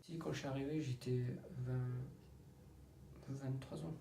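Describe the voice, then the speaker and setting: A man's voice: mid-range voice, steady tone, measured pace, creaky, warm tone. A man with a Turkish accent, speaking hesitantly in a casual interview. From Turkey. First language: not French. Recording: distant mic, echoey room, faint noise.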